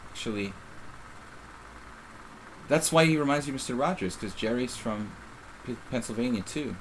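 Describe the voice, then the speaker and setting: A man's voice: mid-range voice, animated, moderate pace, slight background noise, poor audio. A middle-aged man with a faint Philadelphia accent, casually commenting on his own live chess game in a rambling, self-interrupting way. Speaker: chess streamer.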